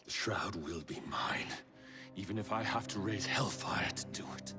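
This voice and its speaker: An actor playing a cold, commanding leader of a secret order, speaking with formal, measured authority in a game. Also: male voice, deep voice, whispered, natural voice. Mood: hushed menace. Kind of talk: dramatic game cutscene acting. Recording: long hall reverb, music behind.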